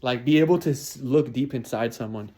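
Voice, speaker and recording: A man's voice: medium pitch, fairly quick, dark tone. A man in his twenties talking through his New Year's resolutions in a casual, motivational way, often repeating and restarting phrases. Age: 20s. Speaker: vlogger.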